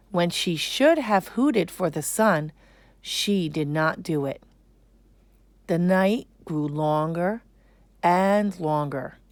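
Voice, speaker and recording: A woman reading a children's story aloud as an expressive narrator. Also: on the low side, no room sound.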